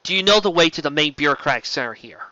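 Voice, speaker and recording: A man voicing a grief-stricken English survivor in a story, with a stilted, halting, precise delivery. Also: on the high side, expressive, bright, forceful tone, no echo, lo-fi sound. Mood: bleak, haunted.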